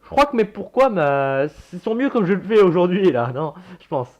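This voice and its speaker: A man's voice: high voice, sing-song, moderate pace, a little mellow, dull audio. A young man casually reacting to his own old videos, chatty and self-mocking, swearing and laughing at himself.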